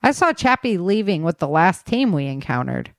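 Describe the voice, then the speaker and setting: A woman's voice: on the low side, sing-song, normal speed, creaky, no room sound, clean studio sound. A woman voicing a deferential, hesitant young male army private in an audio story. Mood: hesitant, curious. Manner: deferential, tentative.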